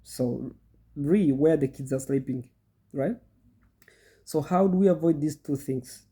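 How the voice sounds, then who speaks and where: Man, middle-aged, goat farmer with a cold, husky, chatty how-to talk, repeats himself. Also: a little high, unhurried, mellow. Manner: chatty, repeats himself, addresses viewers directly. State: congested from a cold. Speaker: goat farmer and channel host. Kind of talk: casual instructional talk to viewers.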